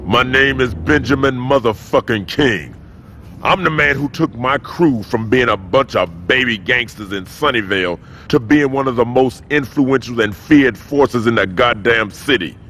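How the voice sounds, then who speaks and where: An actor playing a proud, controlled and menacing gang boss in a video game cutscene, speaking with authority. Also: male voice, mid-range voice, expressive, normal speed, fairly bright tone, a little hiss or hum, close to an everyday voice.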